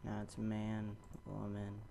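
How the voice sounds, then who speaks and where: A young man giving a casual, offhand software tutorial, unscripted, into a computer microphone. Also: lowish voice, monotone, takes it slowly, gravelly. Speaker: tutorial host. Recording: noisy amateur home recording.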